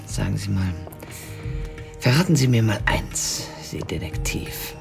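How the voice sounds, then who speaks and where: Very creaky, bitter, haughty widow. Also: female voice, a bass voice, lively intonation, unhurried, heavily acted. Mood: cold, challenging. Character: bitter, haughty, betrayed widow with icy composure. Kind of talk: German radio drama acting. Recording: music behind the voice.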